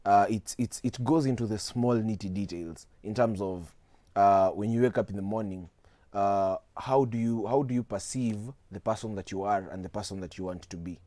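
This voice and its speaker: Kenyan teen guy being interviewed, deep-ish voice, dry close mic, earnest and steady. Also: no echo. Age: teens. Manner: earnest, measured, mixing in Swahili slang. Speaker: guest, youth speaker. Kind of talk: studio interview. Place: TV studio.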